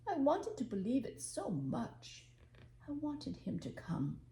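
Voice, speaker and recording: Storybook old widower shoemaker, played by a woman: kindly, soft-spoken, wistful, warm dark tone, roomy sound. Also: high-pitched, wildly swooping pitch, slow, voice pushed up, full character voice. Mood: sad, wistful. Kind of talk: storybook character reading. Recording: roomy echo, faint background noise.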